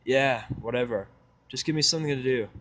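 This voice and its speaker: Man acting surly male human worker, medium pitch, sarcastic, curt, muttering, irritable, audio drama. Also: a little fast, fairly bright tone, lightly acted. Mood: curt, grumpy.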